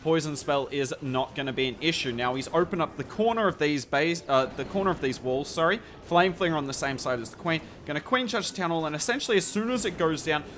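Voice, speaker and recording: Male game commentator, slightly raised pitch, voice a touch worn, upbeat explainer; light background hiss. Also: fast, few pauses, fairly bright tone. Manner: enthusiastic, conversational, points things out to viewers. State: slightly hoarse voice. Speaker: gameplay commentator. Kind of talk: strategy commentary over gameplay footage.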